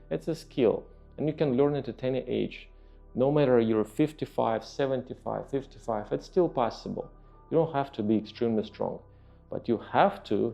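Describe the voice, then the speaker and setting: A man with a Russian accent, a native Russian speaker, explaining training in a halting, emphatic podcast monologue as a fitness trainer and host. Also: on the low side, vocal fry. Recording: muffled lo-fi voice, faint music behind.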